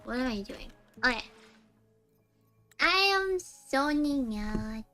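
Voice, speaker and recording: A woman's voice: high voice, lively intonation, slow, a bit bright. A woman hosting a livestream, chatting casually as she plays a game. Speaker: livestream host. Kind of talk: casual game-stream chat. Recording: game music behind the voice. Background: game music and character voices.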